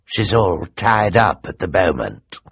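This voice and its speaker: A man's voice: low voice, big pitch swings, unhurried, creaky, fairly bright tone, slightly rough, no echo, exaggerated voice. A man voicing a scheming, theatrical male villain who gloats and mocks, in an audiobook. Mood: mocking. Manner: gloating, grand and mocking.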